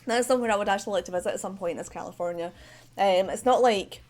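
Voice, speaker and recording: A Scottish woman with a central belt accent talks easily into a desk microphone, mid-pitched and chatty. She rambles from thought to thought, full of "um"s and small laughs. Faint music plays softly behind her. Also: fast talker. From Scotland. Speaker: streamer. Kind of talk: casual livestream chat while drawing. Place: home desk. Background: faint background music.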